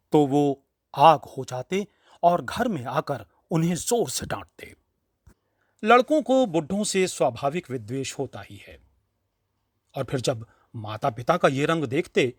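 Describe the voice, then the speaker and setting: Male storyteller, fairly high register, dry close sound; expressive, dramatic reading of literary fiction with measured phrasing. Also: no echo. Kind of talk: expressive scripted audiobook narration.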